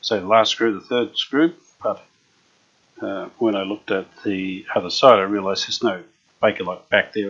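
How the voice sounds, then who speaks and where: A man restoring an old radio, chatting casually as he works, unhurried and full of fillers. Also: lowish voice, vocal fry, a bit bright, slightly rough. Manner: unhurried, thinking aloud with many fillers. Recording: dull lo-fi workshop recording.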